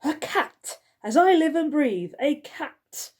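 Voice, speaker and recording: A pompous, furious older housekeeper, as a woman storyteller puts her on, stretching every outraged word into a slow scold. The voice jumps up high when her temper flares and drops low when she fumes. She sounds like a stern ruler of the servants' corridor in a storybook. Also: lively intonation, mellow. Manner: indignant, drawn-out scolding.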